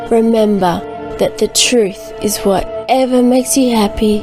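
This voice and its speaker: A woman's voice: medium pitch, natural voice. A woman playing a gushing, credulous new-age devotee in an earnest, airy comedic audio monologue. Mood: cheerful, airy.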